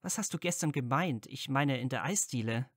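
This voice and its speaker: A man's voice: mid-range voice, lively intonation, normal speed, no echo, studio quality, lightly acted. A man playing an earnest, loyal, easily flustered young wizard friend in an audio drama. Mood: flustered, curious. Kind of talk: fan fiction audio drama dialogue.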